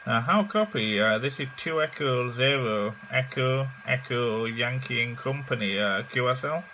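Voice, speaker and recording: A man chatting casually as a radio amateur in short, halting phrases. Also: mid-range voice, expressive, sharp tone, rough recording. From England. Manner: hesitant, halting delivery. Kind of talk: casual ham radio chat. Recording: faint noise and electrical hum.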